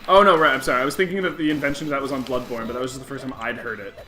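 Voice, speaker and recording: A man's voice: mid-range voice, fairly even intonation, bright, forceful tone. A young man co-hosting a podcast, joking and bantering while playing a video game. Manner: joking, quick back-and-forth banter. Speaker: podcast co-host. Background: game music.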